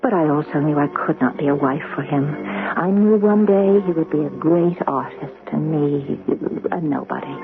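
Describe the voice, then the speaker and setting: An actress playing a naive, warm-hearted young artists' model in an earnest, emotive 1970s radio drama style. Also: female voice, low voice. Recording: muffled, husky-sounding old radio recording.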